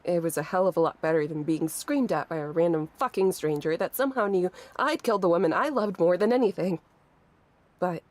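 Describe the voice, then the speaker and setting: A woman's voice: lowish voice, a little mellow, lightly acted. An actress playing a grief-stricken, bitter young woman in a horror audio drama, with a weary, sighing, sardonic delivery. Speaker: voice actor narrating an audio drama. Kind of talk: first-person dramatic narration and dialogue. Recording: music under the voice. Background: music.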